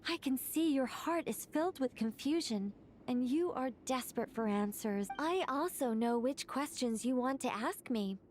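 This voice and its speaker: A woman's voice: sits high, animated, normal speed, darkish tone, slight background noise. A woman playing an ancient angel in a video game, serene, playful and informal, telling things with teasing composure.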